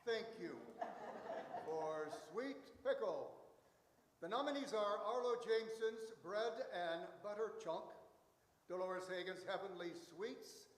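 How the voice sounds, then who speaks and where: Pompous, flustered male grand judge, played by an actor, high-pitched and blustery, comic radio play in an echoey hall. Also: measured pace, a little hiss or hum. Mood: ceremonious. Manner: blustery, stammering. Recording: echoey church hall, live stage.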